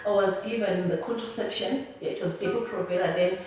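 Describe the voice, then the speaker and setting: A young woman from Zimbabwe with a Zimbabwean accent, telling her personal story in a halting, earnest way that often restarts words. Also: mid-range voice, darkish tone, soft background music. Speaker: interviewee. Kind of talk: personal testimony. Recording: very echoey room, voice from a distance.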